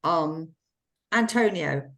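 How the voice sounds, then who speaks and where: A woman moderates a business webinar. Her voice is a touch low, and she hesitates and corrects herself before putting her question. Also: animated, slow, fairly bright tone. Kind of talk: panel moderation.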